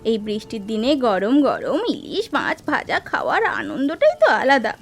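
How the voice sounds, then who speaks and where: A woman voicing a cheerful, eager young village woman in a cartoon, talking in a lively, coaxing way. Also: fairly high pitch, normal speed, gravelly, exaggerated voice. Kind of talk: cartoon voice acting.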